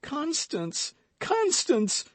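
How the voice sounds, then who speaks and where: A man's voice: very high voice, huge pitch swings, very slow, dry recording, voice pushed up, exaggerated voice. A man reading aloud voices a passionate young man who cries out frantically. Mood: frantic. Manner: crying out frantically.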